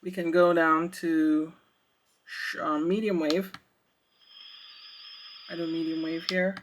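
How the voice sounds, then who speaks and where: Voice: mid-range voice, flat delivery, slow, low-quality recording. A reviewer giving a casual product demonstration, thinking aloud in a hesitant way. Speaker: radio reviewer.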